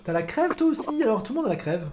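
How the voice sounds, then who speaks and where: A man's voice: extremely high pitch, very animated, fast, a little mellow. A man hosting a casual football podcast, rambling, joking and repeating his words as he talks. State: recovering from illness. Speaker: podcast host. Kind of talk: casual chat.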